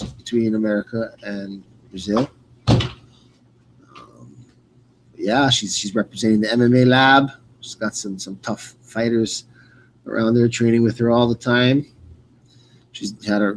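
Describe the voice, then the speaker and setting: A man hosting fight commentary in a casual, rambling, unscripted way, often halting and repeating words. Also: fairly low pitch, slight background noise, poor audio. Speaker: radio play-by-play host.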